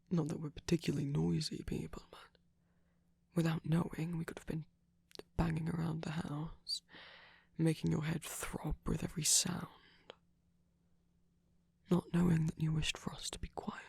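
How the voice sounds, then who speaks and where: Breathy whispering vampire boyfriend, voiced by a man. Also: fairly high pitch, little pitch movement, measured pace, pauses often, a little mellow, no echo, heavily acted. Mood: soft, reassuring. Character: gentle, caring male vampire boyfriend, softly self-conscious. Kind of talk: whispered ASMR roleplay.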